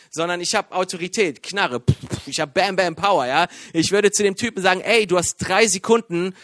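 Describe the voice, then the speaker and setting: A man from Iran preaching a sermon in a lively, joking way, with many fillers and restarts. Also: high voice, no echo, studio quality. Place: church.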